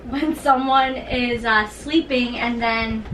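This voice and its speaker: A woman's voice: fairly high pitch, steady tone, fairly bright tone. Laughing young woman in an echoey room. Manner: laughs easily, halting with "um" and "like". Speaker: podcast co-host. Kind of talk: casual chat. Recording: echoey room, mic at a distance, faint hiss.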